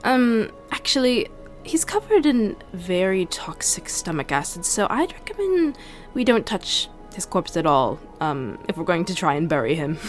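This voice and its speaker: A woman's voice: on the low side, very animated, moderate pace, faint music behind. A woman playing a cautious, pedantic adventurer in a fantasy tabletop game, correcting others matter-of-factly.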